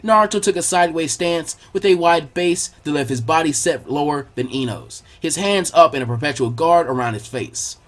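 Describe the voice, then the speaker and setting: A man's voice: fairly high pitch, normal speed, hardly any pauses. A man reading a scripted action story aloud as its narrator.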